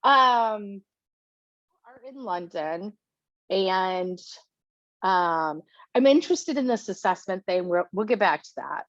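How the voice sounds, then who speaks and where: A woman's voice: mid-range voice, lively intonation, slow pace, pauses often, a bit bright, no echo. Podcast host: woman, chatty, rambling questions. Dry, muffled call sound. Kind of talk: casual interview chat.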